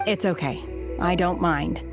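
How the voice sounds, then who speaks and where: Low-voiced, stoic young woman. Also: over music. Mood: quietly grateful. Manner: inexpressive, formal, measured. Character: reserved young woman, stoic, sincere, socially awkward exorcist. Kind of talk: video game voice acting.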